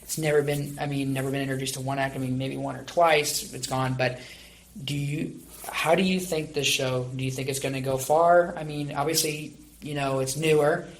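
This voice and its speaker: Creaky-voiced teenage male podcast host. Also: medium pitch, fairly even intonation, moderate pace, a little hiss or hum. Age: late teens. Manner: rambling, encouraging. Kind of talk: casual interview.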